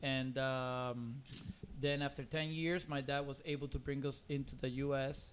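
A man in his forties from Costa Rica with a Cuban accent in English, a native Spanish speaker, chatting casually and haltingly as a podcast guest. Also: medium pitch, little pitch movement, a little hiss or hum. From Costa Rica, born in Cuba. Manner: hesitant, rambling, many "you know"s. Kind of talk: casual conversational interview.

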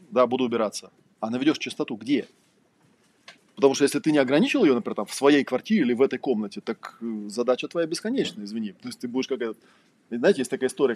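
Male lecturer, rapid delivery, husky tone, informal and rambling, wry storyteller. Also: mid-range voice, a little mellow. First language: Russian. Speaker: trainer, lecturer. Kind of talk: informal lecture with anecdotes and examples.

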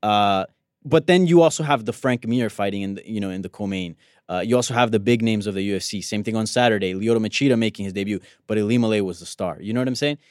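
A man's voice: fairly low pitch, fast, dry recording, clean studio sound. A man who grew up in Colombia and speaks Spanish natively, co-hosting a casual MMA talk show in an easygoing way full of fillers. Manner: easygoing, many fillers like you know. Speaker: co-host.

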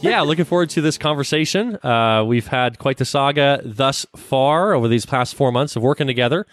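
A man's voice: medium pitch, animated, normal speed, a bit bright, no room sound, soft background music. A man from Canada hosting an interview podcast, friendly and rambling, with many fillers and restarts. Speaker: podcast host.

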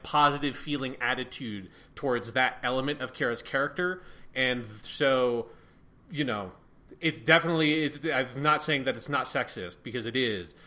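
A man's voice: medium pitch, pushed and bright. A man co-hosting a casual review discussion, rambling loosely and swearing freely. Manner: rambling, many fillers and restarts, swears casually. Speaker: podcast co-host.

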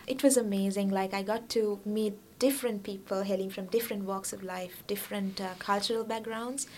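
A young woman from Sri Lanka talks easily in an interview, her voice pitched in an ordinary middle range. She keeps dropping in "like" and "you know" as she goes. Faint noise hums underneath. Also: steady tone, brisk, darkish tone. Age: young adult. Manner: chatty, many fillers like "like" and "you know", laughs often. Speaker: international student guest. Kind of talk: casual interview.

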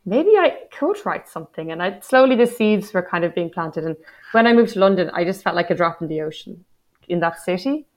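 A woman's voice: on the low side, lively intonation, fairly quick. A woman in her thirties with a Dublin accent, a poet talking about her writing life in a casual interview, reflective and self-deprecating. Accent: Dublin Irish. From Ireland. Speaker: guest poet.

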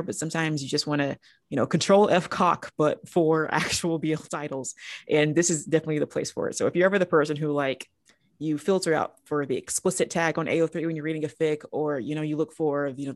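A woman's voice: low voice, no room sound, studio quality. A woman hosting a podcast in a casual discussion, talking informally and frankly with a jokey streak. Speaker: podcast host.

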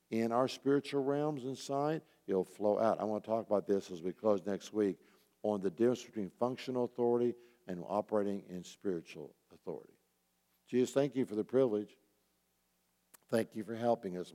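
A man's voice: lowish voice, dark tone. A man preaching to a church group as its pastor, earnest and urging, repeating key phrases and posing questions. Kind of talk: sermon, exhortation with rhetorical questions. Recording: roomy hall with long reverb.

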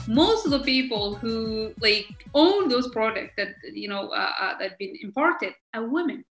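A woman talks in a roomy, echoing space. Her voice is bright and forceful and sits a little high. She stresses her points with energy and stumbles through fillers as she builds her answers. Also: faint music behind. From Somalia. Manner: emphatic and animated, halting with many fillers and laughs. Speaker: guest, member of parliament. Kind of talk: conversational interview answers.

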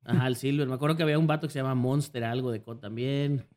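A man's voice: mid-range voice, racing. A man with a Mexican accent telling stories in a casual podcast chat, slangy and full of fillers. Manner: relaxed slangy storytelling, many fillers. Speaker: guest content creator.

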